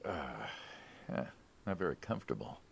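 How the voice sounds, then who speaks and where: Very deep, gravelly mobster. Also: male voice, big pitch swings, moderate pace, heavily acted. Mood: tentative. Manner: hesitant, skeptical, conversational. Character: wary, city-bred mob hitman, male, uneasily friendly. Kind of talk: video game dialogue.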